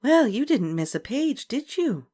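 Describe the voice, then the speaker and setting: A woman voicing a kind, understanding children's librarian who speaks gently and politely. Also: fairly high pitch, sing-song, measured pace, husky, voice pushed up, heavily acted. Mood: gentle.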